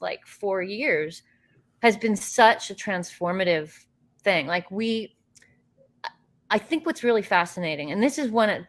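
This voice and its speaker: Low-voiced woman telling rambling stories. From United States. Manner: rambling, animated storytelling with frequent fillers and restarts. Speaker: interview guest, actress and filmmaker. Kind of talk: casual conversational interview.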